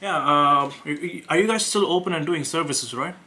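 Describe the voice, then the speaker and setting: A young man with an Indian accent, talking casually to camera and pitching on sales calls, hesitant with many fillers and restarts. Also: normal speed, bright, forceful tone. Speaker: web design agency owner making cold calls.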